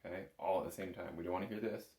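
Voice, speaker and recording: A man's voice: deep voice, steady tone, racing, creaky, very noisy. A man giving a patient, careful step-by-step music lesson as an instructor, recorded in an echoey room. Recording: roomy, echoing room sound.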